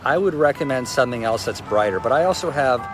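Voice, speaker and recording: A man's voice: medium pitch, animated, fast talker. Brief: male reviewer, strong voice, chatty, faint outdoor noise. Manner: conversational, loose phrasing. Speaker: product reviewer. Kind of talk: casual spoken review.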